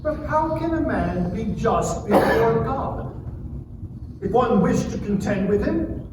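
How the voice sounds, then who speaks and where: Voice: medium pitch, expressive, takes it slowly. A performer in a dramatic scripture reading, playing an anguished man who pleads with God in solemn, impassioned phrases. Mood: resigned awe. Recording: distant, very echoey, noisy live room.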